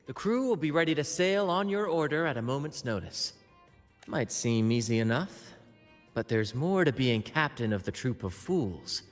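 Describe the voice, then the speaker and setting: A man's voice: mid-range voice, animated, moderate pace, lightly acted. An actor playing a flamboyant, theatrical young male ship captain in a video game. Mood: grand. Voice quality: showy, theatrical. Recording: long hall reverb, faint music.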